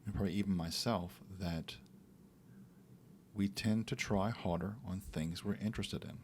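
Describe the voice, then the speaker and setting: A man co-hosting a podcast, talking in a relaxed, reflective way about faith and daily life, sometimes acting out little quotes. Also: low voice, moderate pace, a little hiss or hum. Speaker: podcast co-host. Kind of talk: casual faith talk.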